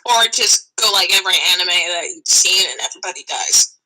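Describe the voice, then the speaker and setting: A woman's voice: on the low side, animated, a little fast, sharp tone, low-quality recording. A woman talking casually as a guest on a podcast. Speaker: podcast guest, voice actress and writer. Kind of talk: casual chat.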